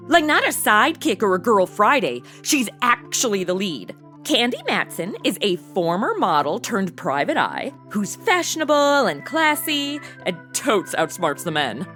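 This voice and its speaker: A young woman playing a snarky, excitable modern gal in a radio comedy, gushing and sassy. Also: medium pitch, moderate pace, few pauses, soft background music. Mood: gushing, excited. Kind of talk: comic narration and banter in modern slang.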